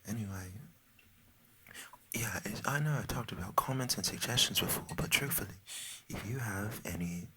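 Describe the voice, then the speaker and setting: An American man putting on a Cockney British accent for a rambling, soft-spoken, partly whispered ASMR chat that repeats and trails off. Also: lowish voice, normal speed, long pauses, background noise. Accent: put-on Cockney British. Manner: meandering, repetitive, often trailing off. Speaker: ASMR video creator.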